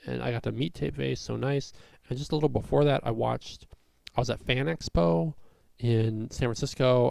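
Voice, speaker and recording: Young male comedian, raspy, rambling chatty vlog delivery, dry room. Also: medium pitch, warm tone, no room sound. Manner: rambling, full of fillers and restarts.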